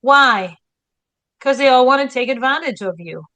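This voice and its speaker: This is a woman with a Spanish accent, chatting on a history podcast in a bright, fairly high voice. She tells her stories with energy, throwing in rhetorical questions and little acted quotes. The whole thing feels like easy conversation with a friend. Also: moderate pace. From Spain. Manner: animated storyteller, rhetorical questions, acts out quotes. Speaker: historian co-host. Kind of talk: casual podcast chat.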